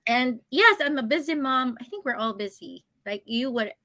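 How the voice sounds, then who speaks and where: Woman in her thirties, bright tone, dry close sound, chatty, laughs a lot. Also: a little high, expressive, moderate pace, no echo. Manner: chatty, laughs often between thoughts. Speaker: guest, online business coach. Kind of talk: casual interview chat.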